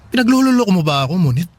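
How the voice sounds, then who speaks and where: Husband role: sincere family man, radio drama delivery, slightly high register. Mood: suspicious, angry. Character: earnest husband and father, trusting, then suspicious.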